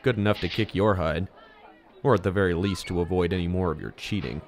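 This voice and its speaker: A man playing a calm, self-assured teenage hero in an audio drama, measured and dry. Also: low-pitched, some chatter behind. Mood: calm, firm.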